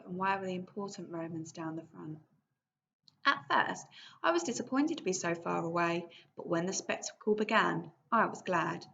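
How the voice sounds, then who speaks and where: A woman teacher reading a book aloud to her pupils in a video lesson. She reads slowly and clearly and stops now and then to ask the class questions. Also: mid-range voice, fairly bright tone, lo-fi sound, a little hiss or hum.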